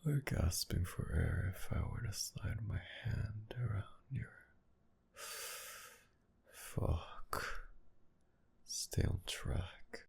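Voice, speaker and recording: Man acting a gravelly, menacing, flirtatious villain. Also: expressive, a little mellow, exaggerated voice. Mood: lustful menace. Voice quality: low, gravelly, breathy. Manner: teasing, taunting, slow drawl with breathy whispers and sighs. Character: sadistic flirtatious male villain, menacing and possessive. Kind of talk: intimate ASMR roleplay acting.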